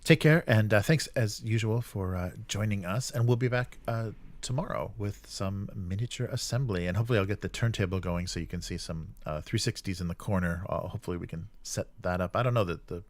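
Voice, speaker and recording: Low-voiced man, halting casual livestream host. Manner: halting, rambling, jokey.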